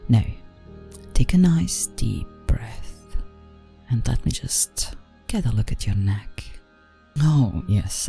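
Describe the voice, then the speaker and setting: Woman acting a very low, whispery genteel vampire lady. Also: heavily acted. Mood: soothing, intimate. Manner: soft, breathy, coaxing, often dropping to a whisper. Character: genteel, doting female vampire aristocrat, gently possessive. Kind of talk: ASMR roleplay.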